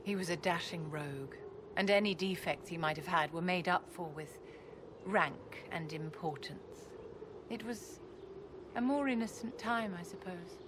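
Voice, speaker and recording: Woman acting low-voiced, poised noblewoman mage. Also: background noise, close to an everyday voice. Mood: restrained grief. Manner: formal, measured, elegant. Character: poised, proud noblewoman mage, grieving with restraint. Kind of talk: dramatic game cutscene acting.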